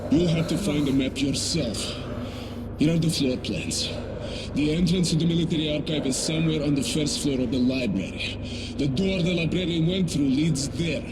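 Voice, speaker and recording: Male game role, acted: seasoned wasteland ranger, Russian accent, slightly high-set voice, instructive, noisy background. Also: normal speed, lightly acted. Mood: urgent. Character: seasoned male post-apocalyptic ranger guide, cautious and fatherly. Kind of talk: video game voice acting.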